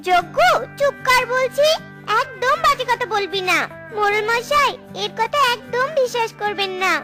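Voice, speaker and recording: A woman's voice: extremely high pitch, moderate pace, music in the background. A woman voicing an honest, hardworking mother tailorbird in a cartoon, fierce and pleading. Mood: defiant.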